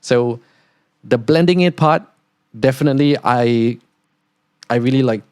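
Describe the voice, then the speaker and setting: A young man from Singapore with a softened Singaporean accent, hosting a casual podcast monologue and rambling with many "like" fillers. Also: mid-range voice, a little slow, no echo, studio quality. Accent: Singaporean, partly neutralized toward Australian. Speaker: podcast host, international student.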